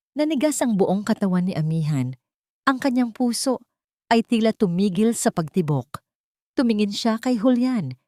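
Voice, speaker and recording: A woman narrates a dramatic story in a fairly low voice. The sound is dry and close, with very little room on it. She reads from a script as an audiobook narrator. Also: warm tone, no room sound.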